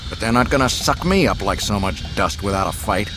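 A hot-tempered, defiant male pilot from an audiobook space adventure, performed by a man. He speaks emphatically with a lively, swinging pitch, with some noise behind him. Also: fairly quick, close to an everyday voice. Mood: tense, defiant. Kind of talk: audiobook character acting.

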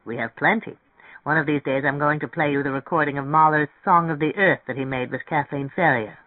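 Male narrator as a middle-aged male connoisseur, knowing, measured, opinionated, audiobook dialogue, narrow dry recording. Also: on the high side, steady tone, no echo, lightly acted. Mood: knowing, amused.

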